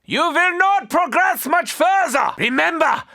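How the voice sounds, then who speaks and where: An actor playing an arrogant, menacing German-accented mad doctor in a video game, with grandiose, formal, theatrical delivery. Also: male voice, extremely high pitch, lively intonation, a little slow, pushed and bright, full character voice. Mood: defiant, scornful. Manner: grandiose, formal, precisely worded. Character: arrogant, menacing male mad doctor battling undead hordes.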